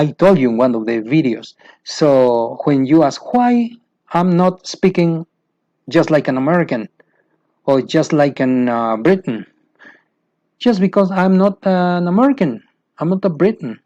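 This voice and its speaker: A man's voice: a little high, lively intonation, measured pace, warm tone, no echo, lo-fi sound. A man from Mexico with a Mexican accent, a Spanish speaker teaching other teachers about an English method, repeating points and often asking "you know why?". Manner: repetitive, asks "you know why?" with rising emphasis. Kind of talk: instructional talk to teachers.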